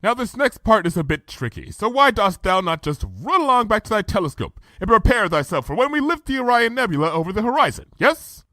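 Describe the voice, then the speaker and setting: A man voicing a regal, theatrical mare princess of the night who speaks in archaic "thou" and "dost" and booms her proclamations. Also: big pitch swings, fairly quick, few pauses, pushed and bright, full character voice. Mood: breezily bossy.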